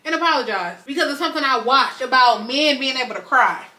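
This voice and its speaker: A woman's voice: sits high, fast. A woman in her twenties hosting a podcast, talking earnestly and animatedly about faith and life, often checking if she's understood. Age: 20s. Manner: earnest, preachy, animated, often asks "you get what I'm saying?". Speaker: podcast host. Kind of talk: casual faith and life chat. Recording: roomy echo.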